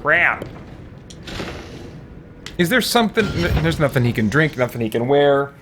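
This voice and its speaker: A man's voice: a little high, very animated, measured pace. Man doing casual gaming commentary over music. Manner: thinks aloud, exclaims at the game. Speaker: gaming video host playing a fantasy RPG. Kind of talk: casual let's-play commentary.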